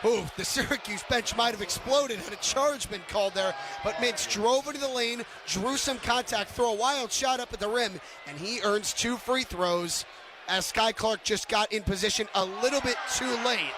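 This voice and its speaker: Guy calling basketball on radio, high voice, punchy clipped calls, noisy gym behind him. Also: moderate pace. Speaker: radio play-by-play announcer. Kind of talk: live basketball play-by-play commentary. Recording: loud arena noise behind commentary. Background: basketball arena ambience.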